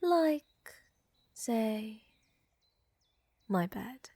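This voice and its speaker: A woman playing a tender, seductive vampire countess in an intimate ASMR roleplay, speaking softly and often in whispers, with refined affection. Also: fairly high pitch, expressive, no room sound, studio quality, exaggerated voice. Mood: flirtatious, teasing. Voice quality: soft, breathy, often whispering. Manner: slow, refined, affectionate, gently dominant. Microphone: close, dry microphone.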